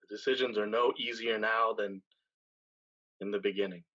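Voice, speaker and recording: A man acting a seasoned, weary pirate captain in a staged play reading, speaking in a measured, deliberate way with dramatic pauses. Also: fairly low pitch, steady tone, sharp tone, dull audio. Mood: weary, burdened. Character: seasoned male pirate captain, weary, solemn, tender, once brash.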